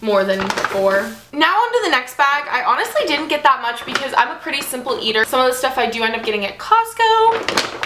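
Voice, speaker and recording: A young woman chatting casually and upbeat as she shows her groceries, with lots of "like" and quick asides. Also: fairly high pitch, background noise. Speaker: fitness vlogger. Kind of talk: casual grocery haul vlog. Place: small bedroom, indoors. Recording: echoey room.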